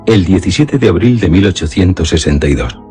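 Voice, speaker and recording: Man, deep voice, radio announcer, scripted informative delivery, clear music bed. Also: animated, a little fast, mellow.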